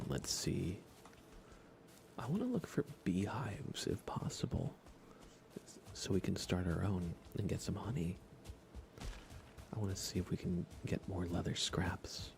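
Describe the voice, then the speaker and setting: A man streaming ASMR in a soft, breathy whisper, chatting casually and gently while he plays a game. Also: sits low, noisy background. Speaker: livestream host. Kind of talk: ASMR livestream, casual chat while gaming.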